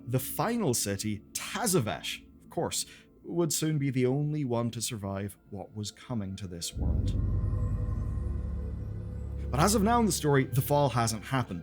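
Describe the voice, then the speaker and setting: A man presenting game lore as dramatic scripted narration, with pointed pauses and colloquial asides. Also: mid-range voice.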